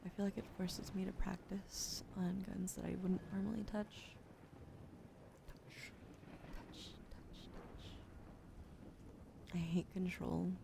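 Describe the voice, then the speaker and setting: A sleepy woman streaming ASMR with a sore throat, switching between soft whispers and casual chat about games into a close microphone. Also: medium pitch, level tone, takes it slowly, creaky, pauses often. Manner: soft whispering between chatty gaming talk. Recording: noisy stream audio.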